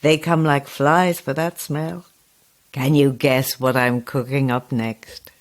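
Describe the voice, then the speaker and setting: A woman's voice: very deep voice, steady tone, slow pace. An actress playing a sinister, taunting old witch in a horror audio drama, with a menacing, sing-song way of drawing out words. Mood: sly, sinister. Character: sinister, taunting old witch who lures and cages her guests.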